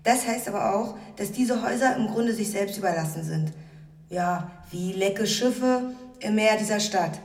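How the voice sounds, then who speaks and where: A woman acting a commanding, conspiratorial squatter in a radio play, instructing deliberately and slipping into whispers. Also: mid-range voice, recorded from a distance, natural voice. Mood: instructive, resolute. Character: Ragnar, commanding, conspiratorial female squatter and midwife of houses. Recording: echoey room, music underneath.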